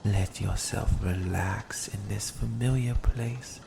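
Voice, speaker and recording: A man's voice: on the low side, vocal fry, background noise, full character voice. A man playing a smug, predatory vampire in an ASMR roleplay, teasing and coaxing as he speaks. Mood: hypnotic, soothing. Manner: slow, teasing, menacingly coaxing, sometimes whispering.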